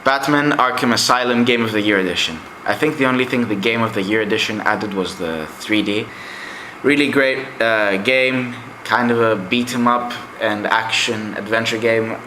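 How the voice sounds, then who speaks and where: A young man whose first language is Greek talks through his games in a loose, chatty way, full of "uh" and "like". His voice is bright and forward. The room is echoey. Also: fairly low pitch, normal speed, a little hiss or hum. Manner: rambling and chatty, with many fillers. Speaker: game collector vlogger. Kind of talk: casual game collection review.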